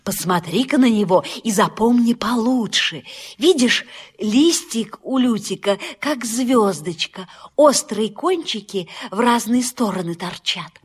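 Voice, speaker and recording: A woman voicing a gentle, caring mother deer in a children's audio fairy tale, patient and soothing as she instructs. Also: a little high, expressive, unhurried, husky. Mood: patient, cautioning.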